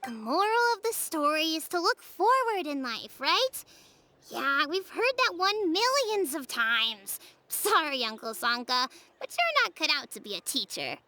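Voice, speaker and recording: A woman's voice: high voice, sing-song, a little slow, bright, forceful tone, exaggerated voice. A woman voicing a sulky, cheeky young child in a video game, blunt and petulant, with music behind the voice. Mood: skeptical, cheeky.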